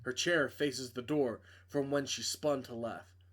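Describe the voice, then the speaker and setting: A man's voice: mid-range voice, a little slow, a bit bright. A man reading a visual novel aloud as a dramatic narrator, sometimes stumbling over words. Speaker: reader playing a visual novel.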